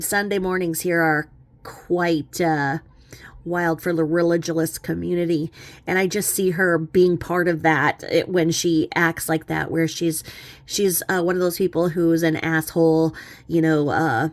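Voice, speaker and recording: A woman's voice: low-pitched, steady tone, measured pace, no echo. A woman giving casual, mocking reaction commentary in a snarky, rambling, chuckling way. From lives in a small religious community. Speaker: commentary vlogger.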